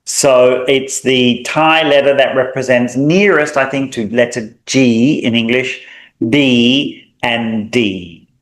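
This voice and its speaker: A man's voice: mid-range voice, steady tone, slow pace. A man with a mild Australian accent teaching a language lesson, explaining patiently, drawing out example sounds, with small hesitations. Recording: echoey room.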